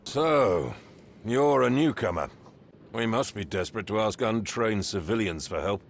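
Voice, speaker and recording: An actor playing a gruff, scornful drill sergeant in a video game, with a gravelly, commanding voice. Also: male voice, on the low side, lively intonation, a little slow, exaggerated voice. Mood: stern. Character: gruff stern drill sergeant, older man.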